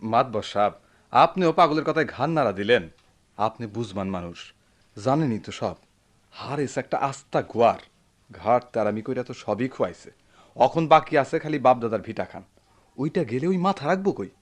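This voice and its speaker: An actor playing an indignant, meddling villager in a radio drama, argumentative and emphatic, with a rural Bangladeshi accent. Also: male voice, mid-range voice, animated, normal speed, lightly acted. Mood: urgent persuading. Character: indignant, meddling older brother-figure in a village quarrel. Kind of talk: Bengali radio drama acting.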